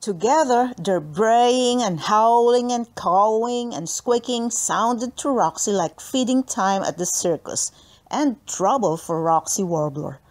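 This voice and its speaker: A woman reading a children's book aloud as an expressive storyteller. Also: a little high, slow pace. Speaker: audiobook reader.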